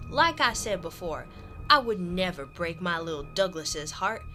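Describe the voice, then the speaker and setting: A woman's voice: on the low side, sing-song, normal speed, sharp tone, soft background music. A woman playing a haughty, bitter mayor's widow in a radio drama, with a genteel, melodramatic manner. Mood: haughty, defensive.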